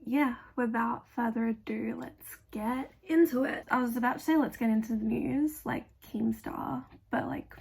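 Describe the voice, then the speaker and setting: Young woman, kinda high voice but super gravelly and croaky, hoarse from being sick, chatty and blunt. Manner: chatty, blunt, swears casually, many fillers. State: gravelly voice after illness. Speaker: video essayist. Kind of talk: casual fan commentary.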